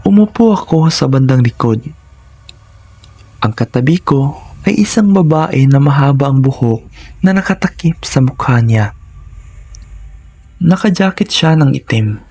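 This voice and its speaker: A man's voice: medium pitch, lively intonation, measured pace, pauses often, darkish tone, slightly rough. A man reading a scripted first-person horror story in a dramatic, suspenseful manner.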